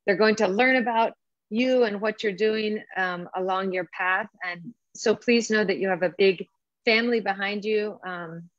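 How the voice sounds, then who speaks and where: A woman giving an informal, encouraging welcome speech as a program director, often pausing as she finds her phrasing. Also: mid-range voice, steady tone, no echo. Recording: dull lo-fi audio.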